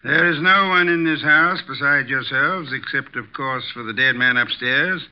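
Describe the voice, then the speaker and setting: An actor playing an imperious, erudite armchair detective with formal, deliberate diction, in 1950s radio drama style. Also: male voice, medium pitch, clear, resonant tone, natural voice. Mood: formal, commanding. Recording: dull vintage 1950s radio recording.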